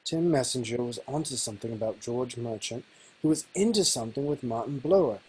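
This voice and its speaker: A man's voice: mid-range voice, animated, brisk, darkish tone, slight background noise, lightly acted, low-quality recording. A man reading an easygoing young village constable in a table read, plain-spoken and a little naive. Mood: laid-back. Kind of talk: table read over video call.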